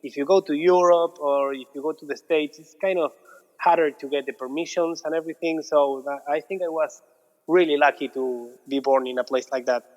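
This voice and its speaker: A man in his thirties from Argentina with an Argentine Spanish accent, chatting casually as an interview guest, relaxed and repeating his words. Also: a little high, fairly quick. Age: 30s. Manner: relaxed, repeats words, non-native phrasing. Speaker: guest, muralist. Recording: dull audio with long reverb.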